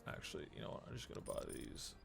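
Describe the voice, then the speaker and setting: A man's voice: fast, over music. A man doing casual live gameplay commentary as a streamer, muttering and thinking aloud. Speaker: game streamer.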